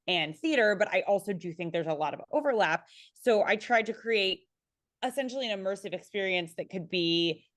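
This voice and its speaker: A woman speaking conversationally as a panelist on a video call, often correcting herself and checking in with "right?". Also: on the low side.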